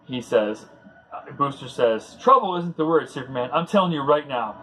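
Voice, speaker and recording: A man's voice: medium pitch, expressive, normal speed, bright, forceful tone, slight background noise, poor audio. A man hosting a comic book read-along, giving casual commentary in a rambling, conversational, fan-like way.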